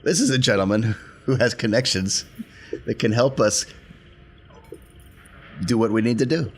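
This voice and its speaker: A man's voice: medium pitch, animated, normal speed, breathy edge. A man chatting casually as a player in a tabletop role-playing game.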